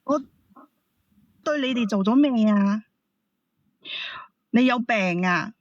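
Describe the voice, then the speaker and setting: A woman caller with a Hong Kong Cantonese accent defends herself in a fairly high voice with a dry, close sound. She protests as she explains her side. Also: animated, takes it slowly, no echo, dull audio. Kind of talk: heated personal explanation.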